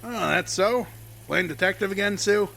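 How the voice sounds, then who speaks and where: An actor playing a brash, cheerful logging boss who teases and talks folksy in a radio drama. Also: male voice, high-pitched, normal speed, gravelly, a little hiss or hum, heavily acted. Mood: teasing. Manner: loud, folksy, teasing.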